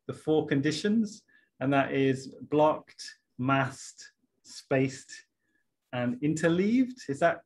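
A man in his thirties hosting an interview over a video call, chatting and thinking aloud with restarts as he sets up his questions. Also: mid-range voice. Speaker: interviewer host. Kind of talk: casual interview talk. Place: room lined with bookshelves.

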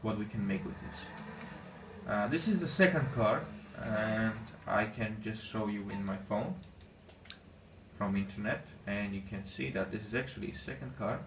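A man whose first language is Armenian, giving casual commentary on his gameplay in halting English with many fillers. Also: fairly low pitch. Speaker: gaming channel host. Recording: echoey room, distant mic, faint noise.

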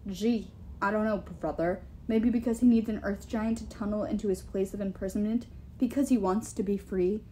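A woman's voice: high voice, lively intonation, unhurried, few pauses, mellow, slight background noise, voice pushed up, poor audio. Woman voicing a sour, sardonic giantess. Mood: sardonic, muttering. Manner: sardonic, scolding. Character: towering sour giantess, suspicious and bitter. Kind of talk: audiobook character voice.

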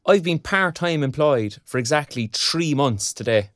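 An actor playing a frank, sardonic young Irish graduate in an audio drama, speaking colloquially. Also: male voice, on the high side, lively intonation, measured pace, a little hiss or hum. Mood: indignant. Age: young adult. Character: young underemployed arts graduate, frank and wry. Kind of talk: naturalistic audio drama dialogue.

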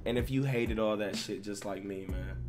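A man's voice: fairly low pitch, moderate pace, a little mellow. Slangy American guy venting over music. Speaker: gaming video creator commenting on clips. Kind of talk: casual, profanity-laden commentary. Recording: music playing behind voice.

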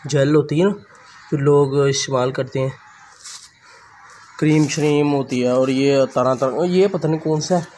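A man's voice: medium pitch, fairly even intonation, moderate pace, pauses often, darkish tone. Young man rambling into a phone. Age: young adult. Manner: rambling, conversational, talks straight to the camera. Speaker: vlogger. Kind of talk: casual vlog chat. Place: rooftop outdoors, then a small room indoors. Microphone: handheld phone camera at arm's length. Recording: noisy phone recording with outdoor ambience.

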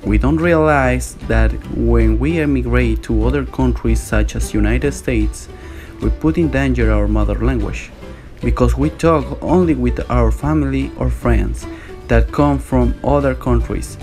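A man's voice: mid-range voice, measured pace. A man narrating a scripted voice-over in an earnest tone, with slightly non-native phrasing. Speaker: narrator and video maker. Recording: voice-over with soft guitar music.

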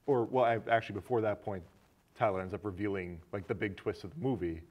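A man in his thirties talks in a fairly low voice. He is a film show co-host, explaining movies in a loose, conversational style. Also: quick pace, a little mellow. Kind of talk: casual movie discussion, retelling a plot. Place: small indoor studio set with curtains.

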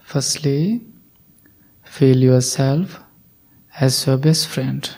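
Slow, soft-spoken male meditation guide. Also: medium pitch, vocal fry. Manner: soft, calm instructions with long pauses. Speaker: Buddhist monk leading meditation.

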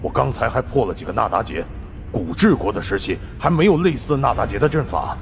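A man's voice: medium pitch, big pitch swings, brisk, vocal fry, a little hiss or hum, voice pushed up. A man voicing a stubborn, weary male director in an audio story. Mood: insistent.

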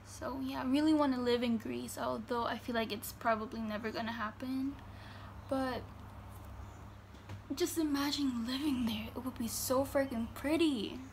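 A young woman in her teens, a vlogger from Germany, answering fan questions in casual chat in her bedroom, full of fillers and reading each question out slowly. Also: high-pitched, steady tone, a little hiss or hum. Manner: chatty, filler-heavy, reads questions slowly. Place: bedroom indoors. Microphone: phone camera at arm's length.

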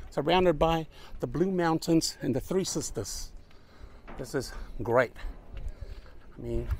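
A man's voice: mid-range voice, a little hiss or hum. A man, chatting upbeat with strangers at an outdoor lookout, filming himself. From New Zealand. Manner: upbeat, chatty, laughs often. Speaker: travel vlogger. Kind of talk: casual chat with passers-by. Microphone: camera at arm's length.

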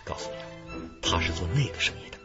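An actor playing a cocky, sly, street-wise crook in a radio drama. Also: male voice, fast, close to an everyday voice. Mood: sly, boastful. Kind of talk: Chinese radio drama acting. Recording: muffled, loud dance-hall music behind.

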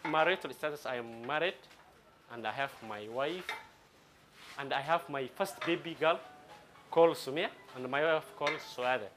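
A man in his twenties from Somalia, a gym owner talking in a documentary interview, speaking halting with many restarts, in a small metal-walled gym. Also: a little high, noisy background. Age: 20s. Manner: halting non-native English with restarts.

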